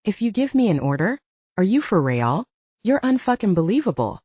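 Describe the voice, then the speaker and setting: A woman voicing a defiant, sharp-tongued young she-wolf, indignant and sarcastic, in audiobook dialogue. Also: medium pitch, big pitch swings, moderate pace, breathy edge, no echo, dull audio. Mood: outraged, sarcastic.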